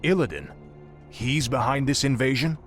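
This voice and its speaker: An actor playing an arrogant, ruthless fallen prince turned death knight, curt and commanding, in a fantasy video game. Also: male voice, mid-range voice, animated, moderate pace, natural voice. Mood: surprised. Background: dramatic music.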